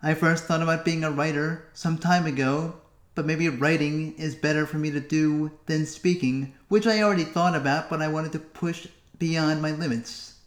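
A man hosting a solo podcast, wandering through his thoughts and pausing often. His voice sits a little high for a man, and it echoes off the walls of the room he is recording in. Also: steady tone. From United States. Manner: musing, self-talking, with long pauses. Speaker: podcast host. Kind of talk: solo reflective podcast monologue.

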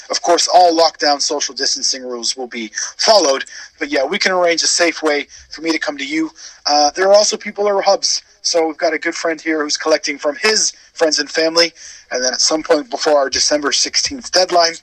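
A man with a slightly high voice talks as a radio guest. He is chatty and casual, telling stories with plenty of asides, and the sound is dry and close. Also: a bit bright, no echo, poor audio. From born in Wales, grew up in Canada. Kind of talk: casual chat and storytelling.

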